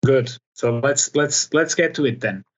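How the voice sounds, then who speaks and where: A man speaks as a podcast host in a conversational interview. His voice sits at a middling pitch, and the recording is slightly dull and lo-fi. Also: measured pace.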